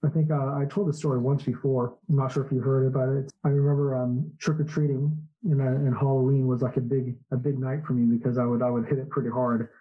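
Man, 40s, from Southern California; relaxed storyteller with a breathy edge, slightly dull recording. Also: medium pitch, quick pace, hardly any pauses. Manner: relaxed storytelling, many fillers. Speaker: podcast guest, entrepreneur. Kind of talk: casual interview chat.

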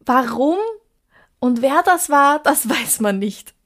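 A woman's voice: high voice, expressive, takes it slowly, a bit bright. A woman with an Austrian accent co-hosting a podcast, telling little stories in a casual, conversational style. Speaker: podcast co-host.

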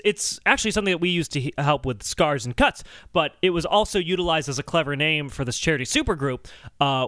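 A man hosting a casual comedy podcast, explaining things haltingly with dry jokes. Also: fairly high pitch, sharp tone, no room sound. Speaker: podcast host.

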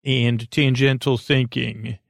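A man with a creaky, gravelly voice hosting a sleep podcast in a meandering, halting, goofy monologue that keeps interrupting itself. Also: mid-range voice, level tone, dry recording, studio quality. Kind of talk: rambling bedtime monologue.

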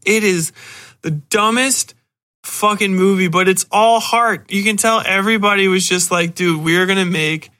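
Bright, high-voiced man podcasting casually. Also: normal speed. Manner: animated, swears freely, excitable. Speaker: podcast host. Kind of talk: casual podcast review, rambling and profane.

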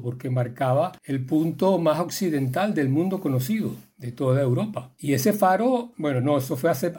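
A man's voice: fairly high pitch, dark tone. An older man from the Canary Islands, a guest filmmaker, telling stories from his life in a reflective, rambling way with many restarts. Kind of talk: relaxed storytelling.

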